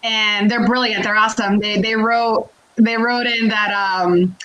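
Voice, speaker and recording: A woman from Puerto Rico and Connecticut, a comedian guest chatting casually and talkatively in an interview, with an animated manner. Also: mid-range voice, measured pace, dull audio. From born in Puerto Rico, raised in Connecticut, United States.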